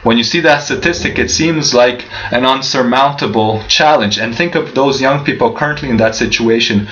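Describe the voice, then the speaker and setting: A man's voice: medium pitch, normal speed, a bit bright, slight background noise. Young guy doing a keynote, earnest, keeps asking the crowd questions, dull lo-fi stream sound. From Canada. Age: young adult. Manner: earnest, with frequent restarts and rhetorical questions. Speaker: keynote speaker. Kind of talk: prepared keynote speech. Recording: dull lo-fi livestream audio.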